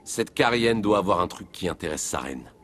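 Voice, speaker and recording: Determined alien officer, low voice. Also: male voice, expressive, moderate pace, a bit bright. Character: keen, determined male alien Turian police officer. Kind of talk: video game voice acting.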